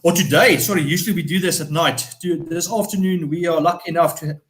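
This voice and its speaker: A man's voice: a little high, fast, fairly bright tone. A man hosting a podcast interview in a casual, easygoing way, laughing and restarting his sentences. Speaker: podcast host.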